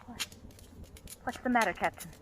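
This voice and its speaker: A woman's voice: a little high, normal speed, a bit bright, close to an everyday voice. A woman playing a secretive, devoted lover in a vintage radio drama, with dramatic, breathless intensity. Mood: alarmed. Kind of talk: 1940s-50s radio drama acting. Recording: noisy, crackly vintage radio recording.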